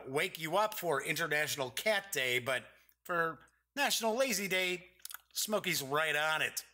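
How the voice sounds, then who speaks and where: A man hosting a casual board game news show, chatty and upbeat, his voice a bit congested and raspy. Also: fairly high pitch, pushed and bright. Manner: chatty, upbeat, reads press releases aloud.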